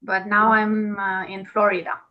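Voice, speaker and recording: A woman from Romania joining an online class over a video call, answering in hesitant, halting replies. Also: mid-range voice, level tone, dull audio. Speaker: class participant. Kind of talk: casual chat.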